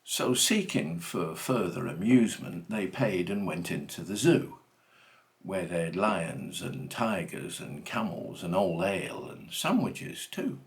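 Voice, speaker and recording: Slow, swooping man reciting. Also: low-pitched, sing-song. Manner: dramatic, deliberate phrasing with swooping emphasis. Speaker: reader of a comic monologue poem. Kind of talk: theatrical comic verse recitation.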